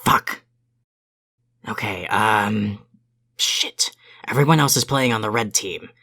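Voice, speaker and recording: A man's voice: on the low side, slow, sharp tone, soft background music, exaggerated voice. A man playing a grumpy, sarcastic young alien cadet who secretly cares, in a fan audio drama. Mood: reluctant. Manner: exasperated, sarcastic, gruff.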